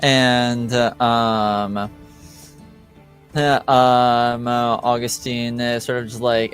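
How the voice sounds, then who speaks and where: A man narrating a tabletop roleplaying game as game master, hesitant and searching for words as he improvises. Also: fairly low pitch.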